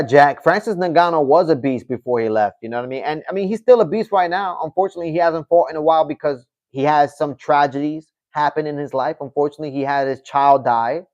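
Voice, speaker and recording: A man's voice: fairly high pitch, a little mellow, dull audio. Man chatting loosely on a livestream. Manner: loose, chatty, repeats "you know what I mean". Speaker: livestream host. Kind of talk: casual livestream chat reading viewer comments.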